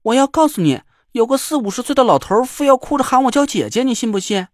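A man's voice: high voice, wildly swooping pitch, normal speed, dry recording, studio quality, pitched above the performer's own voice. Man doing a sassy young woman. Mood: cheeky. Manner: cheeky, dismissive.

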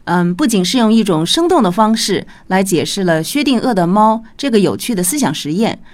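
A woman's voice: mid-range voice, sing-song, brisk, a little mellow. A woman giving a personal thank-you speech to listeners.

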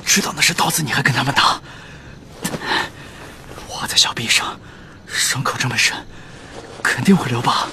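A man acting in a radio drama as a composed, considerate young gentleman from the upper city, speaking in a measured, concerned way. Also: mid-range voice, a little hiss or hum. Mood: worried, scolding. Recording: prominent music behind the voice.

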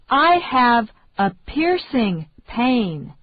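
A woman reading English phrases slowly and deliberately for learners to repeat. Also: fairly high pitch, sing-song. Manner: slow, deliberate, carefully enunciated. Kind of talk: slow repeat-after-me drill. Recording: dry, tinny phone-like sound.

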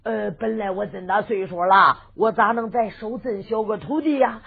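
A woman's voice: medium pitch, moderate pace, vocal fry, slightly rough, pitched below the performer's own voice. Brief: kindly old monk master, put on by a woman, sing-song, tinny lo-fi sound. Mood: gentle, relenting. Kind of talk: folk storytelling performance. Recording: lo-fi phone-like sound with faint music.

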